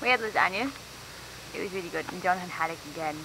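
A woman's voice: on the low side, fast talker, noisy background. A woman chiming in playfully from the back of a car, off the camera mic. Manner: playful, giggly interjections. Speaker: travel companion.

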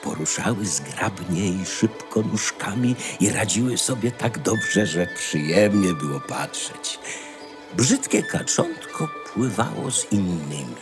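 Deep, slow male storyteller. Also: big pitch swings. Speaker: narrator. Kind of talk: scripted fairy-tale narration. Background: music.

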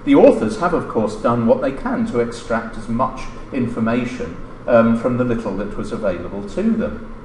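A middle-aged man reading a scripted academic paper aloud at a lectern, formal and measured, with emphatic stresses. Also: medium pitch, animated, darkish tone. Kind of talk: scripted conference lecture, read aloud. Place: indoor lecture room, standing at a lectern. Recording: roomy lecture-room echo, noticeable background noise.

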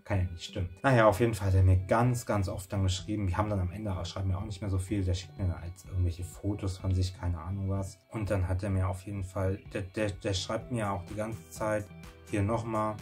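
A man's voice: sits low, steady tone, fairly quick, a little mellow, faint music behind. A young man vlogging from his bedroom, telling a story in a chatty, rambling way with many restarts. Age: young adult. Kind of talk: casual storytelling to camera. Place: small bedroom indoors.

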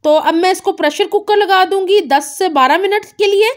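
A woman narrating a home cooking recipe step by step, calmly naming each ingredient and measure. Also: very high-pitched, moderate pace. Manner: calm instructive, naming measures. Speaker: cooking channel host.

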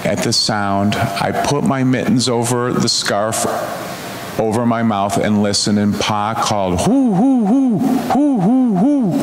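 A man reading a storybook aloud as a minister, with deliberate, expressive, drawn-out storytelling. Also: mid-range voice. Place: large church sanctuary before an audience. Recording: echoey church hall, clear background noise.

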